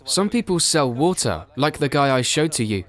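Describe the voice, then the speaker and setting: A man reading a scripted documentary voice-over, explaining things in an even, explanatory way. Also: on the high side, normal speed, noisy background. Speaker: documentary voice-over narrator and translator.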